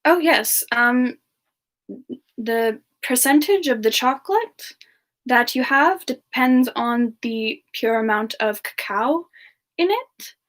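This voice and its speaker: A woman playing a sweet, chatty young female demon, talking gently and hesitantly in a casual semi-ASMR live chat. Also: a little high, lively intonation. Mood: gentle, friendly. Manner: gentle, hesitant, pausing often mid-sentence. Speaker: host of a live Q&A stream.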